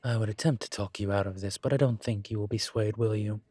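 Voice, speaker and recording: A man playing a suave incubus demon professor in a roleplay audio drama, teasing and protective, with a wry, measured delivery. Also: on the low side, fairly even intonation, warm tone, husky, no room sound. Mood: teasing, charmed.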